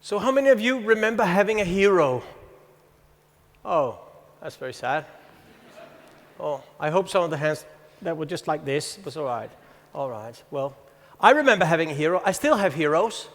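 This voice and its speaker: Danish man, 50s, high voice, conference speaker, storytelling delivery, reverberant hall. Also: big pitch swings. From Denmark. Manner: storytelling, reads a passage aloud with pauses. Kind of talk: presentation to an audience.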